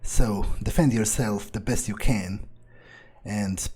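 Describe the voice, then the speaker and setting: A man playing a cold, vengeful vampire obsessed with his authority, improvising deliberately and wryly in character for a game playthrough. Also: on the low side, a little mellow. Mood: menacing. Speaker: gameplay roleplayer.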